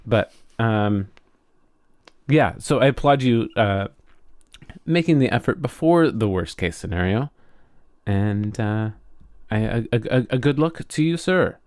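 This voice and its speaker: A man's voice: medium pitch, lively intonation, unhurried, long pauses, darkish tone, no room sound, clean studio sound. An American man co-hosting a podcast in a casual chat, speaking frankly with the odd swear word. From United States. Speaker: podcast co-host.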